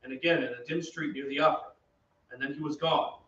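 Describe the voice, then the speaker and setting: Man acting suave opera gentleman, distant stage recording. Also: fairly high pitch, steady tone, fast talker, natural voice. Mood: grave storytelling. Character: suave male opera singer, narrator and courteous suitor. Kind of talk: old-time radio drama acting. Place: theatre stage. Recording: echoey stage, distant muffled mic, faint noise.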